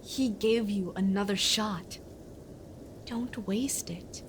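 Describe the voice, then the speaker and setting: A woman playing a gentle, forgiving daughter who speaks with comforting wisdom in a family audio drama. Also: medium pitch, slow, a little hiss or hum, natural voice. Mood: reassuring. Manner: soft-hearted, reassuring.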